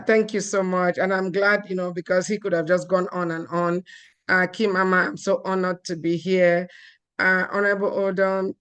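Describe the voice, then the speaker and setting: A woman's voice: fairly low pitch, clean tone. A woman from Cameroon giving an earnest seminar talk, with frequent fillers and restarts. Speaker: guest speaker.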